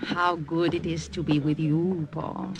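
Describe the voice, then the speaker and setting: An actress playing a worldly, flirtatious French cabaret singer and secret agent in a radio drama, coy, poised and knowing. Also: female voice, deep voice, fairly even intonation, measured pace, very noisy. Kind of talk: old-time radio acting. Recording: vintage radio recording with music behind.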